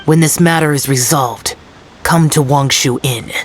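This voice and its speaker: A man's voice: on the high side, measured pace, natural voice. A stern immortal guardian from a video game, acted by a man. The youthful male voice is solemn and aloof, with formal, terse phrasing. Faint noise sits behind it.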